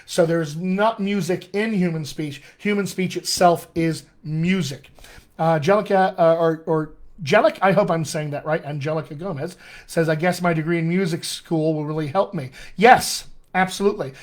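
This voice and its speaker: A middle-aged American man, a dialect coach, teaching a live online class in an animated, friendly way, often calling his viewers "my friends.". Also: a little high, normal speed. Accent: American English. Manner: animated, addresses viewers as "my friends", chuckles at own asides. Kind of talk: live-streamed teaching session.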